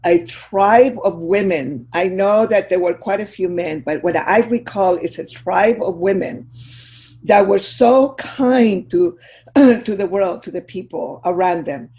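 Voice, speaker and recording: Older woman from Chile, earnest and soft, video call audio, mid pitch. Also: unhurried. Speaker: guest speaker. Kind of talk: heartfelt talk on a video call.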